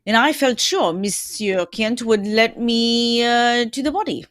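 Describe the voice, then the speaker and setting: Brief: woman as courteous, self-assured male sleuth, high voice, theatrical radio-play delivery, close dry sound. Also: measured pace, a bit bright, dry recording. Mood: explaining, triumphant. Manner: theatrical, explanatory, punctuated with "eh?". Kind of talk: old-time radio mystery acting.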